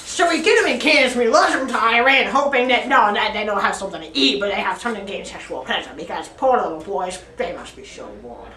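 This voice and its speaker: A woman's voice: mid-range voice, animated, fast, hardly any pauses, bright, forceful tone. A teenage girl telling a rambling, absurd improvised story in a classroom, often giggling, recorded by a camera set up across the room. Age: teens. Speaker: student storyteller. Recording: echoey classroom, distant camera.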